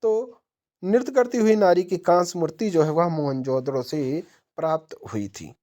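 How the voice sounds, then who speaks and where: A woman's voice: lowish voice, moderate pace, warm tone. A woman narrating a question-and-answer quiz lesson as an exam-prep teacher, methodically posing each question and then answering it.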